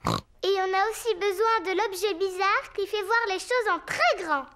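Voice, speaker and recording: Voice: very high-pitched, normal speed, bright, forceful tone, full character voice. A performer voicing an eager, curious little girl piglet in a French children's cartoon, bubbly and inquisitive.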